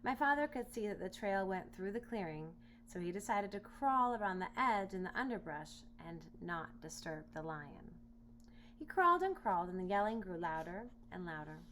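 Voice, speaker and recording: A woman reading a children's storybook aloud in expressive narration. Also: medium pitch, moderate pace. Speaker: storybook reader. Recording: noisy home recording with hum.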